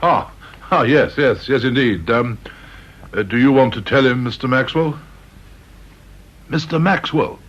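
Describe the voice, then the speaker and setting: An actor playing a deferential, easily flustered senior office manager in a radio drama, British accent, hesitant manner. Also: male voice, mid-range voice, unhurried, long pauses, a bit bright, husky. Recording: dull, slightly noisy radio play recording.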